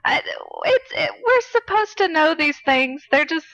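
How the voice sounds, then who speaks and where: A woman's voice: very high voice, lively intonation, unhurried, a bit bright, lo-fi sound. A woman co-hosting a casual film chat, playfully teasing in short, conversational remarks. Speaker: podcast co-host.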